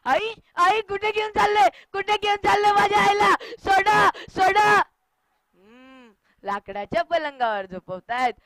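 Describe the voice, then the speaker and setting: A woman's voice: high-pitched, expressive, unhurried, rough recording. A woman playing an innocent five-year-old boy in a stage monologue, with a childish lisp and whining, repetitive phrases. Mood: frantic, wailing.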